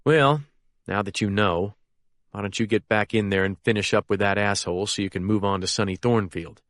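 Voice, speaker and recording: Male reader playing tired federal agent, gruff and clipped, slightly lower, dry audio. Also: a little fast, fairly bright tone, no echo, close to an everyday voice.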